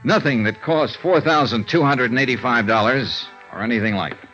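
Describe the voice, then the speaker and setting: An actor playing a world-weary, dry American investigator in a 1950s radio noir drama, with clipped hard-boiled delivery. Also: male voice, medium pitch, brisk, fairly bright tone, soft background music, lightly acted. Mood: somber narrating. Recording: muffled vintage radio recording.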